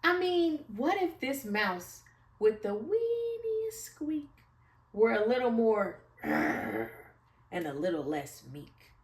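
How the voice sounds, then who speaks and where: A woman's voice: fairly high pitch, huge pitch swings, slow, full character voice. A woman voicing a tiny, timid but hopeful mouse in a children's storybook, earnest and polite. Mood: excited, hopeful. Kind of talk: children's storybook read-aloud. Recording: echoey room, far from the mic.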